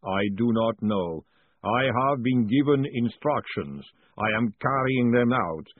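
A man voicing a slow, solemn male golem servant who speaks in flat, deliberate sentences. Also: mid-range voice, no echo. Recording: tinny phone-like audio.

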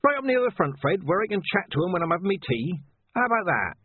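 A man's voice: high-pitched, big pitch swings, fast, slightly rough, no echo, phone-quality sound, voice pushed up, full character voice. A man voicing an eccentric, sulky male gravedigger who lives in the crypts and talks in a wheedling, pleading way. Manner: wheedling, pleading, faux-old-fashioned speech.

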